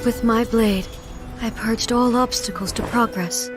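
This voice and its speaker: A woman playing a solemn, regal shogun deity in a video game, speaking in a grave, measured, formal way. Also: fairly high pitch, fairly even intonation, close to an everyday voice. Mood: sorrowful, solemn. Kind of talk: dramatic video game acting. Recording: studio voice over background music.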